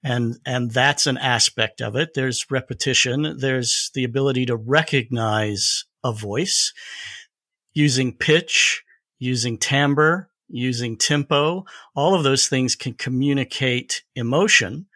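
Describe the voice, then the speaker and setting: A middle-aged man explaining ideas as an expert guest, in a measured, deliberate way. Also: medium pitch, sharp tone, dry recording. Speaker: podcast guest, audio branding expert. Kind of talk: conversational explanation.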